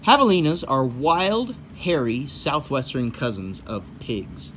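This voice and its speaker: Animated, folksy man reading kids' storybook. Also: medium pitch, a little slow, a little hiss or hum. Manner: folksy, rambling, jokey asides. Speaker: host of a children's read-aloud show. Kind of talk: animated storybook reading with casual playful asides.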